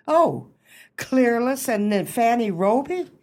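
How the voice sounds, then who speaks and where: Radio drama: imperious society hostess played by a woman, precise diction, very lively range. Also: a little high, takes it slowly. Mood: lofty disdain. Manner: pompous, precise, formal diction. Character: haughty society hostess, bluffing book club leader. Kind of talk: radio play acting.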